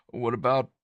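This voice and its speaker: A man acts out a calm, teasing male hero in an intimate ASMR roleplay. His medium-pitched voice moves slowly and haltingly, with dry, understated wit. The sound is close and free of room echo. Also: highs cut off. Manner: low-key, halting, conversational with sighs and soft chuckles.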